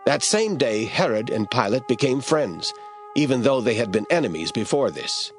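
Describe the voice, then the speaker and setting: A man narrating scripture in a radio drama, reading in a steady, measured way. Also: medium pitch, soft background music.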